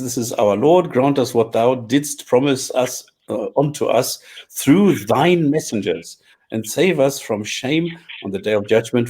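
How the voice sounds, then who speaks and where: A man's voice: mid-range voice, a little mellow. A man co-hosting a casual talk show, reading out translations and stopping to explain and repeat his points. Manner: measured, repeats himself, stops to clarify points. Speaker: podcast co-host.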